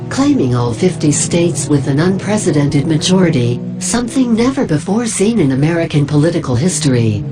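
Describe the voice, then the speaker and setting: A woman's voice: deep voice, low-quality recording. A woman playing a devoted cult narrator, reading a formal, solemn documentary script for an initiation tape. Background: loud music.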